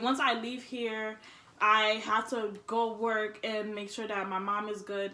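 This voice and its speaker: A woman's voice: medium pitch, pushed and bright. A woman, chatting candidly and informally as a vlog host. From born in Haiti, immigrated to the United States at four. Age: early 20s. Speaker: vlog host, college student. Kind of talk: casual personal chat. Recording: echoey room.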